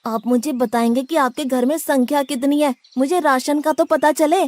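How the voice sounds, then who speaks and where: A woman voicing a blunt, complaining, hot-headed young boy devotee in an animated moral story. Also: sits high, moderate pace, no room sound, natural voice. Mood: indignant.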